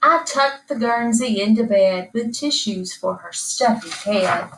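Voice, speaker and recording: A woman's voice: fairly high pitch, a bit bright. A middle-aged woman reading a rhyming children's picture book aloud in a rhythmic, dramatized way. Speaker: storybook reader. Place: small indoor room. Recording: echoey room, distant microphone.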